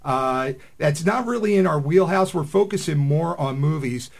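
A man talks casually as a radio co-host. His voice is a little high for a man and has a clear gravelly creak, recorded in a studio. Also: lively intonation, moderate pace. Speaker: podcast co-host. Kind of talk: casual panel chat on live radio.

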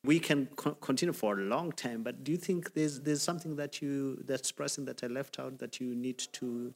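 A man's voice: mid-range voice, moderate pace, gravelly. A man with a Kenyan accent hosting a casual podcast interview, often repeating words as he talks. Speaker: podcast host.

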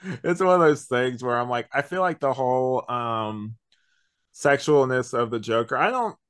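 A man co-hosting a podcast in casual chat, explaining things in a rambling, halting way with many false starts. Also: mid-range voice, animated, a bit bright, clean tone. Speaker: podcast co-host reviewing an audio drama.

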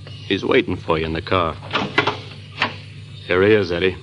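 A man's voice: deep voice, fairly even intonation, normal speed, faint music behind, lightly acted. An actor playing a curt, menacing American henchman in an old radio crime drama. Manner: curt, threatening, slangy. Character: tough, menacing male hoodlum, gangster's henchman. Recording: dull old radio recording.

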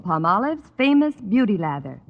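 A woman's voice: mid-range voice, big pitch swings, normal speed. A woman reading 1940s radio advertising copy with a gushing, alluring sales delivery. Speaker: commercial spokeswoman. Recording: echoey, muffled old radio recording.

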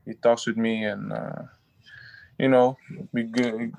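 Halting footballer man, flat voice. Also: mid-range voice, creaky, dry recording, low-quality recording. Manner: halting, restarts often, simple phrasing. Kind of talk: interview answers.

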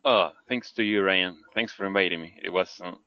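An Argentine man, native in Spanish, talks in a fairly low voice with an Argentine accent. He is a casual, self-effacing interview guest who hesitates as he searches for words. The sound is dry and slightly dull. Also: fairly quick, bright, forceful tone, dry recording. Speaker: interview guest, 3D character artist. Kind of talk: casual conversational storytelling.

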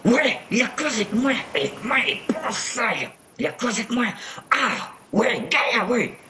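A man playing a simple, childlike fisherman in an audio drama, speaking in excitable, repetitive colloquial bursts. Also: very high voice, moderate pace, background noise, pitched above the performer's own voice. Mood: overjoyed. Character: simple, taciturn fisherman Alain, childishly overjoyed. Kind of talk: audio fiction character acting.

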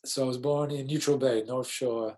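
A man's voice: mid-range voice, steady tone, moderate pace. A man, a musician guest talking in a casual interview and explaining things thoughtfully. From Israel. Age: 40s. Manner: thoughtful, explains gently with many ums.

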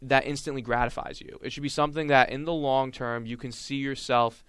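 A man's voice: mid-range voice, steady tone, brisk, a bit bright, clear, resonant tone, dry recording. Young male podcast host, earnest and reflective, clean resonant tone, dry close sound. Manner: earnest, reflective, speaks in long winding sentences. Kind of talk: casual chat.

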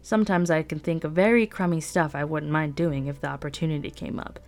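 A woman's voice: sits low, fairly even intonation, brisk, a little mellow. A woman hosting a podcast, reading a novel aloud in first person with a conversational, wry storytelling manner. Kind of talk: first-person audiobook narration.

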